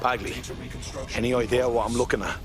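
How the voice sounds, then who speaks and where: An actor playing a wry young hacker operative who talks in a dry, sarcastic way in a game cutscene. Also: male voice, medium pitch, fairly even intonation, fairly quick, over music, natural voice.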